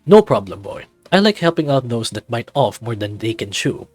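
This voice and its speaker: A man's voice: mid-range voice, big pitch swings, normal speed, no room sound. A man playing a jovial male professor with a teasing, lecturing manner in visual novel dialogue. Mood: amused, teasing. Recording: background music under the voice.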